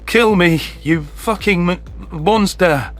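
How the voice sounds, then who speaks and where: A man's voice: high-pitched, slow pace, a bit bright, faint music behind. A man voicing a bitter, hateful alien veteran in a dramatic, vehement telling of a sci-fi story. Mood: hateful, spitting.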